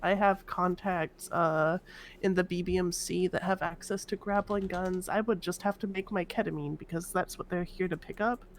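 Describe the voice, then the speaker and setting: A woman's voice: fairly low pitch, fairly even intonation, normal speed, a little hiss or hum, natural voice. A woman playing a scheming prison inmate in an online roleplay game, casually thinking her plans out aloud. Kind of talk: improvised in-character roleplay chat.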